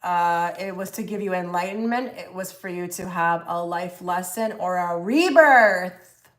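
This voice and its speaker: Chatty woman hosting a tarot stream. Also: on the low side. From half Egyptian. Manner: chatty, halting, many fillers and restarts. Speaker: tarot reader livestream host. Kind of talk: casual livestream tarot reading.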